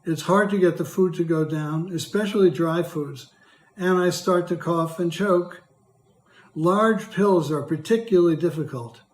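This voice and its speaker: An older man with a hoarse, dry voice, reading prepared testimony as a patient in a measured, careful way. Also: a little high, reverberant. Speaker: patient speaker. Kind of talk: prepared testimony read aloud.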